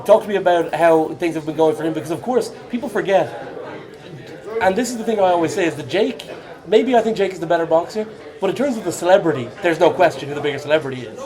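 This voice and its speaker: A man's voice: fairly high pitch, expressive, quick pace. A man from Ireland interviews a guest in a chatty way and laughs easily. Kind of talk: casual sports interview. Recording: noisy crowd behind. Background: crowd chatter.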